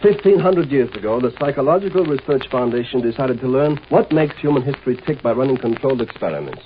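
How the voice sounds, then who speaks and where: A man's voice: mid-range voice, animated, fast, close to an everyday voice. A man acts out a galactic military marshal for a 1950s radio drama. He is curt, impatient and used to command. The old recording is very thin and narrow, with faint noise.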